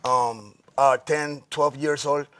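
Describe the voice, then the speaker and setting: A man from Rico, a wrestling guest answering interview questions haltingly, with many restarts. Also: mid-range voice, fairly even intonation, fairly bright tone, smooth. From Puerto Rico.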